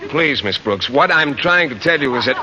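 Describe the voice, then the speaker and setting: A man's voice: fairly high pitch, lively intonation, moderate pace, over music, close to an everyday voice. A man playing a shy, naive biology teacher in an old radio sitcom, speaking politely, earnestly and hesitantly. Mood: flustered. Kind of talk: 1940s-50s radio sitcom acting. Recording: band-limited, noisy old radio recording.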